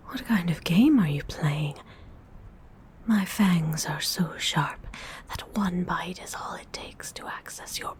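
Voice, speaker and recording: A woman voicing a predatory, hungry female vampire in a breathy whisper, a seductive and politely menacing ASMR roleplay monologue. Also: lowish voice, warm tone, a little hiss or hum. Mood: puzzled, intrigued. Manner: slow, drawn-out, teasing menace. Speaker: ASMR voice performer.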